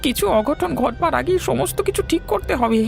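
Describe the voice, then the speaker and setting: A woman's voice: high voice, expressive, fairly quick, vocal fry, over music. A woman playing an anxious village woman in a horror cartoon, muttering to herself. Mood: alarmed.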